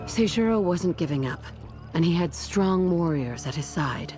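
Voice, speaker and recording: A woman's voice: lowish voice, lively intonation, normal speed, mellow, faint music behind, close to an everyday voice. An actress playing a steadfast samurai warrior woman who narrates her war in a video game cutscene. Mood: grave, resolute.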